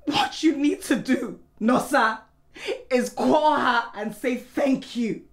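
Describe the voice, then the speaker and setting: An exasperated, wounded wife in a naturalistic screen drama. Her voice sits high, with a bright, forceful edge. She argues with pointed emphasis, repeating words to drive them home. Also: lively intonation, a little slow, exaggerated voice. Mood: hurt, reproachful. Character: hurt, exasperated wife confronting her proud husband.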